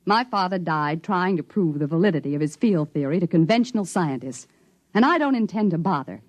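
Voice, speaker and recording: A woman's voice: lowish voice, animated, brisk, dry recording. An actress playing an ambitious, businesslike woman scientist with a formal, articulate manner, in 1950s radio drama style. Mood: intent, ambitious.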